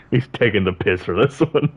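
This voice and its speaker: A man's voice: lowish voice, lively intonation, unhurried, breathy edge. A man chatting casually while playing a game, joking, swearing and laughing often. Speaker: gamer. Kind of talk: casual gaming chat. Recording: dull lo-fi sound.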